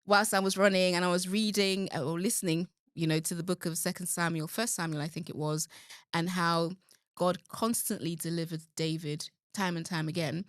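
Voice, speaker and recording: A woman's voice: on the low side, steady tone, normal speed, no room sound, studio quality. A woman guest on an interview show, a gospel singer talking earnestly and reflectively about her music and faith. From London. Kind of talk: casual interview talk.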